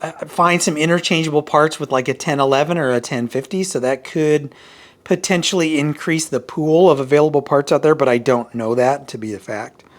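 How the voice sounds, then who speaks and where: A man with a moderately high-pitched voice talks through a casual gear review. He rambles, backs up to rephrase and thinks aloud as he goes. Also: a little fast. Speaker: video reviewer of vintage hi-fi gear. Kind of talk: casual product review talk.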